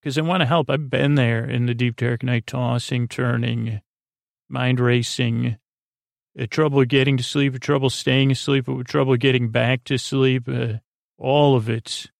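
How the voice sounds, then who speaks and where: Low, creaky-voiced man rambling at bedtime. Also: fairly even intonation, no echo, clean studio sound. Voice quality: gravelly, creaky voice. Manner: meandering, halting, full of tangents and self-interruptions. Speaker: podcast host. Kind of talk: rambling bedtime monologue to help listeners sleep.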